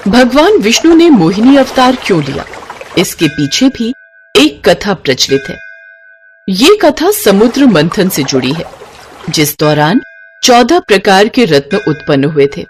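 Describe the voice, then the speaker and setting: A woman narrator delivering a scripted mythological tale. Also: mid-range voice. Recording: faint background noise.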